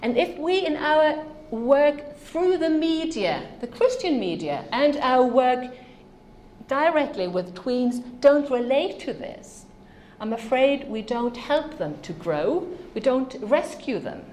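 A woman's voice: high voice, animated, slow pace, darkish tone, roomy sound. A woman from Norway, a native Norwegian speaker, giving an earnest, emphatic conference talk on ministry to children.

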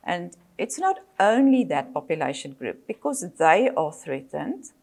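A woman's voice: on the low side, big pitch swings, gravelly, a little mellow. Older South African woman lecturing. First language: Afrikaans. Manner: measured, with frequent fillers and self-corrections. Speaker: psychologist and lecturer. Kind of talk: presentation lecture.

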